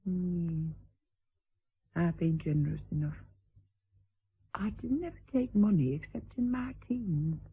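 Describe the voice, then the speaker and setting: A woman's voice: lowish voice, takes it slowly, pauses often, slightly rough, exaggerated voice. An actress playing a middle-aged, vulgar, hard-drinking lady mistress in a radio drama, declamatory, vain and fearful, lurching between coarse bluntness and maudlin grandeur. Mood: wry, boozy. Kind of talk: theatrical radio drama acting. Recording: thin, phone-like band-limited radio drama audio.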